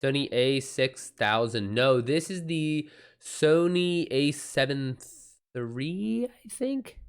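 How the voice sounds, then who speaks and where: A man's voice: mid-range voice, animated, takes it slowly, clear, resonant tone. Casual American man chatting on a livestream. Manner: informal, slangy, reacting aloud to chat. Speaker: livestream host and self-published author. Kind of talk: casual livestream chat, answering viewer comments.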